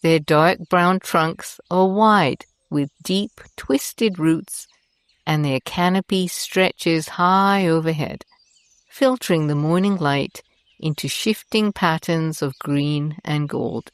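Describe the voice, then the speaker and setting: Slow, low-pitched woman guiding meditation. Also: smooth, dry recording. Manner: gentle, soothing, deliberate. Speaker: host and meditation guide. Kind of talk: guided meditation narration.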